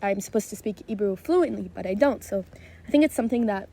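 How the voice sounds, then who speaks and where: A woman's voice: mid-range voice, expressive, fast, dark tone. A young woman, in her teens, with an American accent, speaking hesitantly in a casual podcast chat. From Israel. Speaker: podcast co-host.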